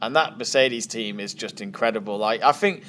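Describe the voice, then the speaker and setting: A man's voice: fairly low pitch, normal speed, sharp tone, a little hiss or hum. A man, a podcast guest giving joking, rambling opinions in casual chat.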